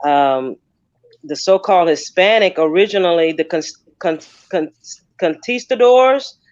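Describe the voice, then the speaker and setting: Deliberate, lecturing man on a dry, dull line. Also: high-pitched, animated, no room sound. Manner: deliberate, lecturing, repeats himself. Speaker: panel guest. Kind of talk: panel discussion.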